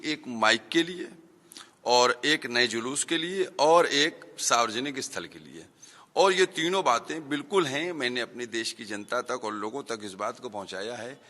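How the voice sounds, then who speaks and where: Bright emphatic man in an echoey room. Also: medium pitch. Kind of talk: interview sound bite.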